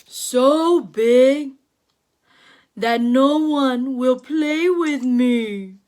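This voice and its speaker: A woman voicing a huge, lonely, gentle male whale in a children's picture book read-aloud, speaking slowly and in a hushed, low tone.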